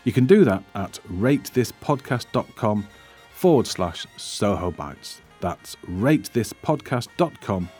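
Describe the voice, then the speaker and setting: A man's voice: on the low side, big pitch swings, slow, darkish tone. Male podcast host, faint music behind. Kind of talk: casual film chat and scripted outro.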